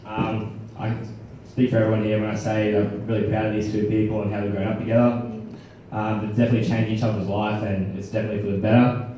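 A man's voice: fairly low pitch, level tone, fast talker, warm tone, slight background noise. A young man giving a casual, rambling wedding speech as a friend of the groom. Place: wedding reception hall. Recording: echoey crowded hall from far off.

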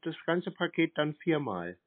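A man speaking as a customer on a phone call, in a brief, deadpan manner. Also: a little high, measured pace. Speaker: prank caller posing as customer. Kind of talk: terse phone conversation. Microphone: telephone. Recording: tinny, narrowband phone line.

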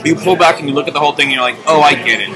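A man's voice: on the high side, racing, rough recording. A young man co-hosting a casual review chat with dry, mock-serious asides, filmed up close at a restaurant table. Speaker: co-host. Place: busy restaurant bar at night. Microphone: camera held close at the table. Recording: very loud bar music behind.

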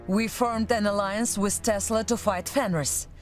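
A woman's voice: mid-range voice, steady tone, unhurried, soft background music, close to an everyday voice. Woman acting as resolute, commanding female officer. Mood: earnest. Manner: urgent, commanding, earnest. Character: loyal, resolute female military officer and former security chief. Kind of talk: video game cutscene acting.

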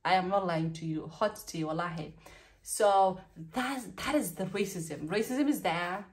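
A woman's voice: fairly low pitch, a little slow. Chatty Kenyan woman vlogging from her bedroom. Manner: animated, talks with her hands, candid and chatty. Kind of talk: casual storytime vlog. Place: small bedroom, indoors.

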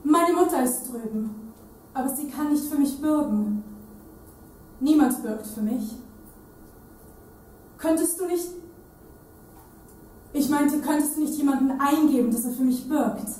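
A woman's voice: high-pitched, unhurried, pauses often, a little mellow. A woman playing a pleading young girl in a staged reading, in a distant, very echoey, noisy room.

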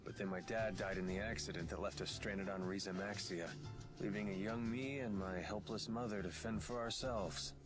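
An actor playing a wry, world-weary rogue in a video game, sardonic and laid-back. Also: male voice, lowish voice, steady tone, moderate pace, over music, close to an everyday voice. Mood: matter-of-fact.

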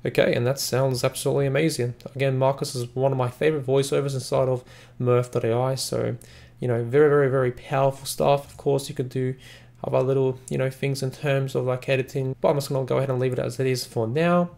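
A man hosts a casual software walkthrough. His voice has a lot of fry and gravel in it. He explains each step in a loose, repetitive, rambling way. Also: mid-range voice, level tone, fast talker. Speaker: software reviewer, tutorial host. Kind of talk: casual screen-recording tutorial narration.

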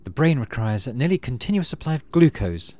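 Casting: man playing a clever, self-assured teen girl scientist for a game, precise, musing, pitched higher. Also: big pitch swings, brisk, a little mellow, close to an everyday voice. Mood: thoughtful.

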